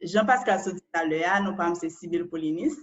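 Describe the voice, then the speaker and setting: A woman's voice: fairly low pitch, fairly quick, muffled recording. A Haitian woman and data analyst giving an online presentation, explaining step by step and often ending phrases with a questioning tag.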